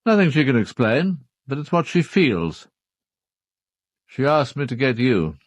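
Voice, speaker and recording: An actor playing a formal, upper-class British knight in a radio drama, speaking in a clipped, matter-of-fact way. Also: male voice, mid-range voice, very animated, measured pace, no room sound, lo-fi sound. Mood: grave, explaining. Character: formal upper-class husband, a titled knight hiring a detective.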